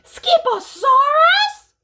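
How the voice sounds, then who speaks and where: Woman acting high, bossy storybook mother cat. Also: sing-song, extremely slow, slight background noise, exaggerated voice. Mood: indignant. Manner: loud, emphatic, ordering.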